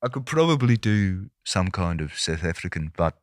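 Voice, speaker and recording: A man's voice: low voice, big pitch swings, moderate pace. A young man co-hosting a podcast, joking around in casual banter and laughing often. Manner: joking, laughs often, slips into mock accents. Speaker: podcast co-host. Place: podcast studio. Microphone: studio mic.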